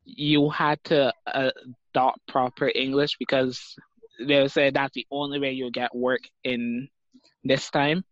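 A man's voice: medium pitch, level tone, takes it slowly, no room sound. Barbadian man on a dull, dry call. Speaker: student in a linguistics discussion. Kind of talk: casual video-call discussion. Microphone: video call.

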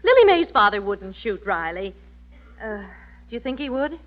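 Casting: actress as practical, supportive housewife, animated intonation, muffled 1940s radio sound. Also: female voice, medium pitch, moderate pace, lightly acted. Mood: amused, concerned. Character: sensible, supportive wife and mother. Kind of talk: 1940s radio sitcom acting. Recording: muffled old radio recording.

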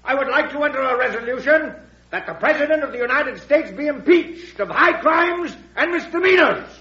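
An indignant lawmaker addressing a chamber. His extremely high-pitched voice declaims formally with a roomy echo, in old radio drama style on a muffled broadcast. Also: expressive, normal speed, pushed and bright, full character voice. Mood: indignant, declamatory. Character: indignant, oratorical congressman addressing a legislative chamber. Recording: echoey, dull vintage radio broadcast.

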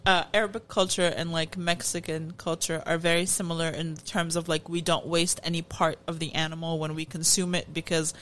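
A woman's voice: fairly low pitch, little pitch movement, fairly quick, few pauses, fairly bright tone, a little hiss or hum. A woman co-hosting a casual food-review chat, explaining background details with many "like" and "um" fillers. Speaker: podcast co-host.

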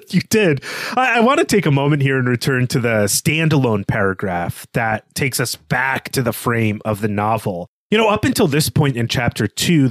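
A man's voice: mid-range voice, a bit bright, no echo, studio quality. A man co-hosting a podcast, explaining literature in an earnest, bookish and analytical way. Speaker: podcast co-host. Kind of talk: literary discussion, analytical commentary.

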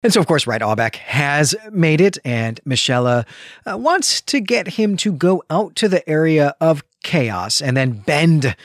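A man's voice: on the high side, big pitch swings, unhurried, no room sound, studio quality. A man co-hosting a book podcast, recapping a story conversationally with wry asides. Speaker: podcast co-host.

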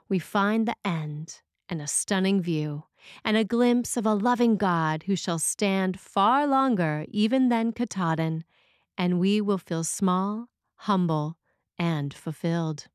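A woman reading a scripted sermon as a preacher, in a measured, reflective way. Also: medium pitch, clear, resonant tone, no room sound, clean studio sound.

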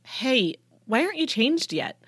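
A woman's voice: sits high, very animated, a little slow, a bit bright, breathy edge, no room sound, voice pushed up, heavily acted. Woman playing a bold, coy teenage cheerleader. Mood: brisk. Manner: confident, cooing, teasing. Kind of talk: audiobook character dialogue.

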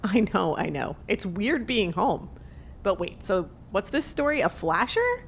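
Woman playing a lively, skeptical woman. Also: mid-range voice, a little slow, a little hiss or hum. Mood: curious. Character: skeptical, sarcastic young woman back in hometown. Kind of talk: naturalistic audio drama acting.

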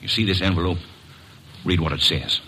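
A man playing a bitter, driven drifter bent on revenge in a 1940s radio drama, tense and husky. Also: low-pitched, steady tone, normal speed, pushed and bright, heavily acted, poor audio. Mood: grim.

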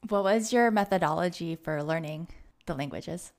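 Woman interviewer, lowish voice, short questions, encouraging replies. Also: normal speed, darkish tone. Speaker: podcast host.

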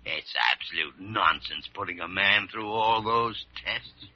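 An actor playing a crusty, irascible senior doctor in a vintage radio drama, rough-voiced, blunt and teasing. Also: male voice, lowish voice, unhurried. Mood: gruff, teasing. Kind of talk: 1940s-50s radio drama acting. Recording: dull vintage radio recording.

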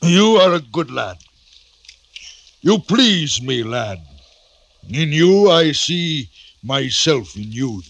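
Slow, ponderous old general. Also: male voice, a little high, very animated, fairly bright tone, no room sound, full character voice. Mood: fatherly. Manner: slow, ponderous, theatrical repetition. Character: sentimental, mournful old Russian general, fatherly and grandiose. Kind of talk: old-time radio drama acting.

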